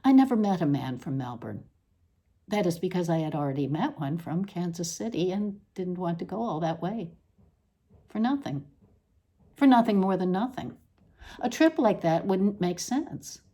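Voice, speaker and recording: A woman giving a deliberate, expressive literary reading of an essay, with pointed emphasis on the words. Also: on the low side. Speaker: reader. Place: at home.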